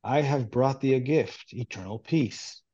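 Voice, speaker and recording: A calm angel of death offers a quiet, gently menacing welcome, as a man reads the part aloud. The voice is low-key and mellow, and he reads evenly. The sound is slightly dull. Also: a little slow, slightly rough. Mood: calm, ominous. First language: Hebrew.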